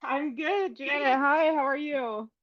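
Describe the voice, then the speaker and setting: A woman's voice: high voice, a little slow, no echo, dull audio. A woman hosting a podcast, asking questions in a casual, conversational style. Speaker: podcast host, interviewer.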